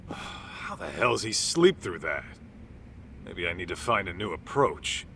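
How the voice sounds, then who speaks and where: A middle-aged man with an American accent playing an easygoing, streetwise tough-guy detective in a dubbed video game, talking casually and gruffly. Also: deep voice, sing-song, unhurried, sharp tone, a little hiss or hum, exaggerated voice. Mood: exasperated. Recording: music behind the voice.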